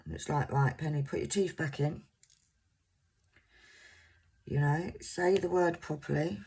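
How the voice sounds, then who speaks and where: A woman in her fifties, originally from Scotland, with a soft voice and a mixed English accent, chatting to viewers in a casual, rambling way and sometimes stumbling over words. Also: sits low, a little slow, husky. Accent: English, mixed southern. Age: 50s. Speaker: crafting vlogger.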